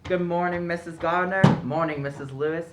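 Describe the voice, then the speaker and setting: A foppish young society gentleman played by a man in a radio play. He is jolly, naive and eager to please, happy to poke fun at himself. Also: a little high, fairly even intonation, fairly quick, loud music behind the voice, close to an everyday voice. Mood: cheerful, polite. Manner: jolly, foppish, self-deprecating. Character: genial, naive upper-class young gentleman suitor. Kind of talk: stage-style radio play acting.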